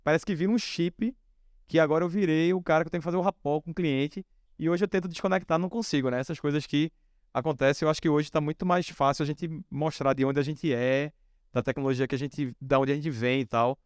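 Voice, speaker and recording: Man from northeast brazil, forties, founder telling anecdotes, smooth clear voice, dry close sound. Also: fairly high pitch, brisk, dry recording. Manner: relaxed anecdotal, many fillers like "né". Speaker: founder and guest speaker. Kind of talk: storytelling on a stage talk.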